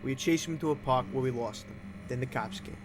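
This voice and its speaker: An actor playing a rough, earnest gang underling in a fan audio drama. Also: male voice, medium pitch, fast, natural voice. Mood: reporting, urgent. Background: music.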